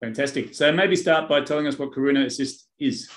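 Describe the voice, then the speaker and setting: A man's voice: medium pitch, fairly quick, faint music behind. A man in his fifties hosting a business interview over a video call, asking questions with brief, encouraging responses. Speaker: host. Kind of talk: interview host. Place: home office with bookshelves. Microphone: webcam on video call.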